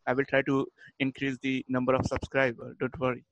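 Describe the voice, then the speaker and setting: A man's voice: mid-range voice, monotone, brisk, no room sound, low-quality recording. Eager man with a Hindi first language. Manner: eager and hesitant, many filler words. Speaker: caller joining the meeting. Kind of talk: casual video-call chat.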